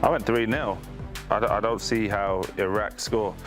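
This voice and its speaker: A man on a studio panel giving a measured, matter-of-fact prediction. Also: on the low side, fairly even intonation, music in the background. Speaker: studio pundit.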